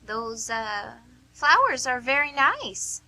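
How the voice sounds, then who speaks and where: Casting: blunt, dry lady with a put-on quirky voice, played by a woman, piercingly bright, lively pitch, radio theatre. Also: slow, heavily acted. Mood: curt, guarded. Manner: putting on a weird voice, curt, dry. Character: blunt, teasing woman in an old-lady mask on a blind date. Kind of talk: amateur radio theatre acting.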